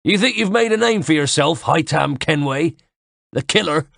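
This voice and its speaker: A man voicing a gruff, middle-aged male soldier with a West Country accent, wheezy and out of breath, who taunts in a sneering way. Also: on the high side, expressive, normal speed, fairly bright tone, dry recording, studio quality, voice pushed up. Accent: West Country English.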